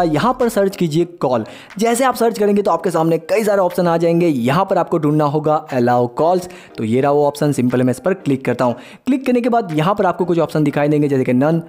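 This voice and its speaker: Higher-voiced man giving casual tech tips. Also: a little mellow. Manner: informal, chatty, addresses viewers as buddy. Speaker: tech tutorial host. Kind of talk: casual smartphone tips tutorial.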